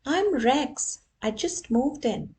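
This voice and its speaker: A woman's voice: high voice, expressive, slow, darkish tone, pitched above the performer's own voice. A woman voicing Rex, a friendly and eager young male dog, in a read-aloud storybook.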